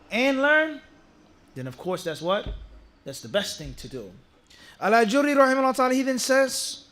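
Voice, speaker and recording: A man's voice: sits high, fairly bright tone. A man giving an Islamic lecture to a congregation, teaching point by point in an emphatic way, with rhetorical questions and repeated phrases. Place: mosque, speaking to a live audience.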